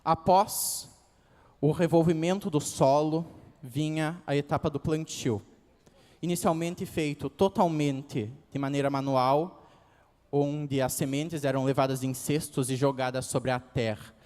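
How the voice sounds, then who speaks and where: A man's voice: a little high, fairly even intonation, a little slow, a little mellow, in a large hall. An eighteen-year-old man with a gaúcho Brazilian accent gives a formal, declamatory oral presentation as a pageant contestant. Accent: Gaúcho (Rio Grande do Sul) Brazilian Portuguese. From Rio Grande do Sul, Brazil.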